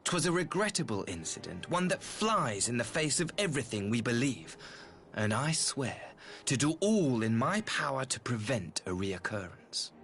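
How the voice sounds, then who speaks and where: An actor playing a courteous, calculating imperial ambassador in a video game, speaking with formal, eloquent, theatrical archaic diction. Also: male voice, mid-range voice, sing-song, normal speed, fairly bright tone. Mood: solemn, persuasive. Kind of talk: scripted video game voice acting. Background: background music.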